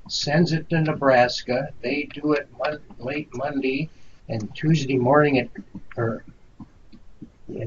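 A man explaining informally on a work conference call. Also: mid-range voice. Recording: dull conference-call audio, faint noise.

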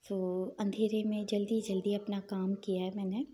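Mellow-voiced woman chatting through her day. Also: mid-range voice, little pitch movement, slight background noise. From Pakistan. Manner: chatty, unhurried, with small fillers and pious asides. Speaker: vlogger. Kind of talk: casual voice-over narration of her day.

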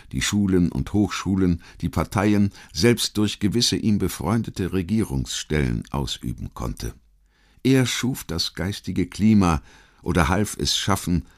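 Very deep-voiced male history narrator. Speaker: audiobook narrator. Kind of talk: scripted documentary history narration.

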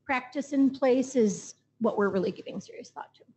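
A woman with a slightly high, husky voice answers a panel question on an auditorium stage. She talks in a measured, thoughtful way and strings her points into long lists. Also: mellow. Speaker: panelist, association executive.

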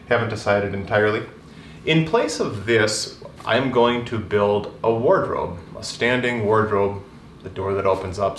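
A man in his thirties showing round his bedroom in a relaxed, chatty way, with frequent ums and restarts. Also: lowish voice, distant mic, slight background noise. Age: 30s. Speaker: woodworking vlogger. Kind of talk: casual walkthrough. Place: bedroom with wood floor. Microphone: camera at a distance. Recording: roomy, from off-mic.

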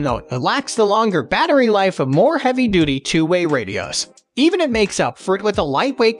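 A man with a high-pitched voice reads a scripted product review. His intonation moves up and down in a lively way, though the delivery is stiff and read out. Music plays behind him. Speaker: narrator of product review videos.

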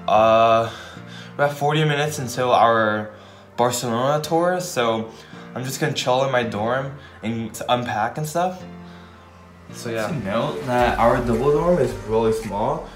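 A young man from California narrating a casual travel vlog in a small dorm room, talking into a phone at arm's length in a relaxed way with fillers. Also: mid-range voice, a little slow. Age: young adult. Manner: relaxed, laid-back with fillers. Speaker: vlogger. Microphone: phone held at arm's length. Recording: background music under the voice.

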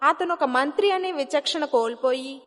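A woman's voice: sits high, moderate pace. A woman giving scripted narration of a moral story as its narrator. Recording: long hall-like reverb, music behind.

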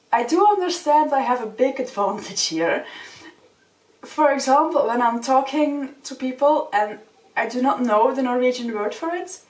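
A young woman whose first language is Dutch, giving earnest, friendly advice straight to the camera in a small wood-panelled room. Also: fairly high pitch. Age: young adult. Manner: friendly, earnest, gestures with open hands. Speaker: vlogger. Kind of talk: casual advice talk to camera. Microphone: camera a few feet away. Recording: roomy sound from a distant mic.

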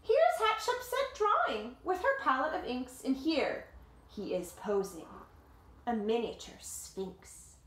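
A woman in her thirties reading a picture book aloud to children like a teacher, with dramatic, deliberate phrasing and simple explanations, recorded in a living room. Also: high voice, very animated, a bit bright. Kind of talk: expressive storybook read-aloud with explanatory asides. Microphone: camera or phone a short distance away. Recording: roomy home echo.

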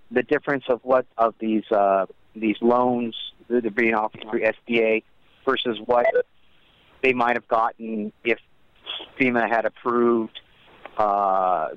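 Smooth-voiced man on a muffled call. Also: medium pitch, dry recording. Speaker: newspaper reporter. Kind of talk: asking questions on a press conference call. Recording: dry, muffled phone call.

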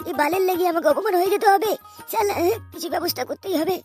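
Swaggering cartoon lad voiced by a man, very high pitch, foul-mouthed, music underneath. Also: quick pace, a little mellow. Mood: scheming. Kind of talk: cartoon comedy dubbing.

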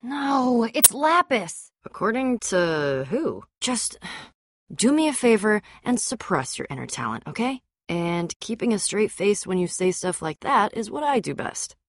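A woman voicing a tough, sarcastic, self-assured young adventurer and trader in a video game, with an American accent and a wry, brisk delivery. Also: medium pitch, sing-song, dry recording. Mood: sarcastic, teasing. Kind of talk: animated adventure game dialogue.

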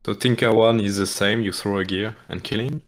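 A man's voice: fairly low pitch, steady tone, brisk. A man from France with a French accent, explaining a game speedrun in hesitant live commentary full of restarts. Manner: hesitant, many restarts and fillers, apologetic.

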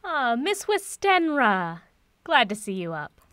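An actress playing an eccentric, cryptic woman professor in an audio drama, breezy and theatrical with deadpan whimsy. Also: a little high, slow pace, clear, resonant tone, dry recording, studio quality, heavily acted.

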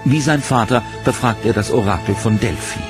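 A man giving scripted, measured documentary narration in a dramatic storytelling manner, over a music bed. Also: lowish voice. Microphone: studio voice-over mic.

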